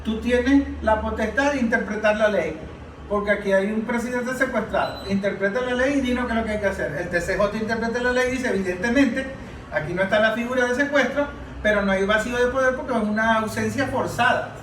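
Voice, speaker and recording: A man's voice: high voice, brisk. A Venezuelan man speaking as a political analyst in an informal panel discussion, emphatic and argumentative, often posing rhetorical questions. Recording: roomy, distant mic with faint noise.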